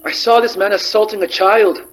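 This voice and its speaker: An actor playing an anxious, decent Spanish gentleman in a radio drama, pleading and stammering. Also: high-pitched, steady tone, fairly quick, lightly acted, rough recording. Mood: panicked pleading.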